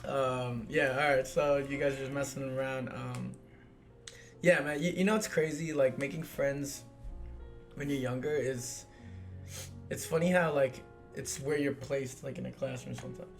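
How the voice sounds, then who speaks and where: A man hosting a podcast in a loose, joking chat, losing his voice a little and clearing his throat now and then. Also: mid-range voice, steady tone, moderate pace, soft background music. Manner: loose, joking, swears freely. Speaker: podcast host. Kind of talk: casual chat.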